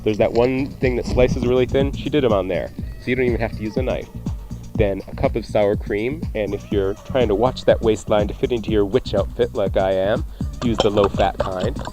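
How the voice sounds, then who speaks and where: A man's voice: on the low side, steady tone, moderate pace. A man hosting a radio cooking show, talking through recipes in a casual, conversational way with jokey asides. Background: faint music.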